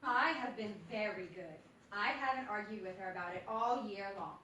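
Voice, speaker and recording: A woman's voice: mid-range voice, normal speed, bright, forceful tone, muffled recording, natural voice. A woman playing a prim seven-year-old girl who acts like an old lady, reading a letter in a live stage radio comedy. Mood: prim, earnest. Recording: very echoey theatre, far off, faint noise.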